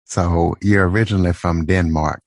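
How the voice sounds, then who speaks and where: A man hosting an interview podcast, asking steady questions with small restarts. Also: deep voice, moderate pace, dry recording. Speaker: podcast host.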